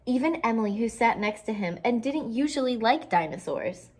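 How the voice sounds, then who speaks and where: A woman reading a children's picture book aloud expressively, pausing to explain it in simple terms for young listeners. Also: a little high, animated, darkish tone.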